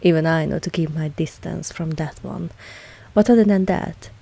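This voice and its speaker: A woman playing an ancient, composed and fondly dominant vampire mistress in an intimate ASMR audio roleplay, softly spoken with a husky voice. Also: heavily acted. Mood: calm, cautionary. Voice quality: husky, low, breathy at times. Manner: slow, measured, softly spoken.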